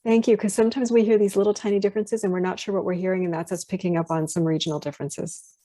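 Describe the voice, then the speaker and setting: A woman with a West Coast US accent teaching phonetics on a video call, gently correcting details and encouraging students. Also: mid-range voice, fairly even intonation, fast talker, no room sound. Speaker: phonetics instructor. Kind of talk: online class discussion.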